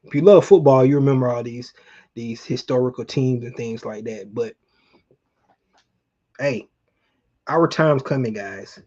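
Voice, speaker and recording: A man's voice: medium pitch, a little slow, pauses often, mellow, lo-fi sound. A middle-aged man from Atlanta, chatting casually and colloquially to viewers as a sports livestream host. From Atlanta, Georgia, United States. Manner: conversational, addresses viewers directly, colloquial. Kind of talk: casual fan chat, reminiscing about sports.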